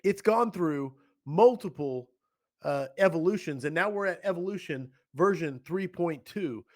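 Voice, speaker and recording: A man's voice: on the high side, lively intonation, unhurried, a little mellow. A man hosting a casual podcast interview, enthusiastic and playful, laughing often. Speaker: podcast host.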